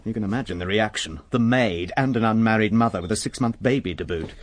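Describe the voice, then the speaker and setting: An actor playing a wry, informal police superintendent in a radio drama, with a gossipy manner. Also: male voice, fairly low pitch, quick pace, dry recording, natural voice. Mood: gossipy briefing.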